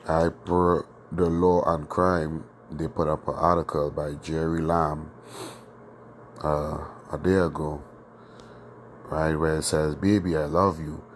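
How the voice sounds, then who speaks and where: A man with a very low, steady voice, hoarse from a sore throat, teaching a scripture lesson. He speaks loosely and conversationally, checking in with his listeners again and again. A faint hiss of noise sits under the recording. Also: slow pace. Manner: conversational, many fillers and tag questions. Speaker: teacher of a religious group.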